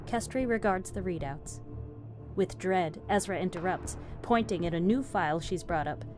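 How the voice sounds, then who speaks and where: A woman's voice: lowish voice, normal speed. A woman doing scripted narration, reading stage directions as a narrator. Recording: music under the narration.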